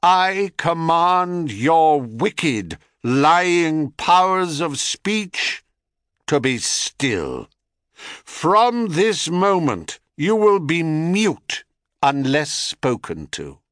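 A man's voice: a little high, sing-song, drawn-out words, fairly bright tone, dry recording, clean studio sound, voice pushed up, exaggerated voice. Man playing an imperious, icy queen goddess. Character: wrathful, haughty queen goddess.

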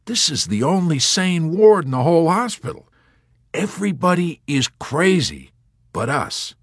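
A man voicing a brash, excitable wartime airman in an audiobook, exclamatory and emphatic. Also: medium pitch, measured pace, no room sound, clean studio sound, voice pushed up. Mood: grim warning.

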